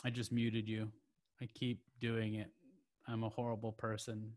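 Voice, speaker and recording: A man hosting an open mic over a video call, rambling warmly with lots of fillers and restarts. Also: lowish voice, monotone, moderate pace.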